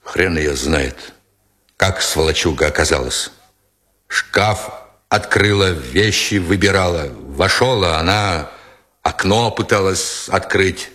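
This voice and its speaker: A man reading aloud in Russian gives voice to a coarse, wheezing army sergeant who growls low and barks at a captive. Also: lively intonation, slow pace, heavily acted. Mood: growling. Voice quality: wheezing, rasping. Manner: rough, shouting, coarse. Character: gruff hoarse male army sergeant, brutal.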